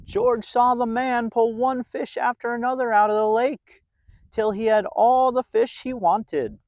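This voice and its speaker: A man narrating a children's storybook in an expressive, deliberate way, drawing out phrases for young listeners. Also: very high-pitched. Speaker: storybook reader.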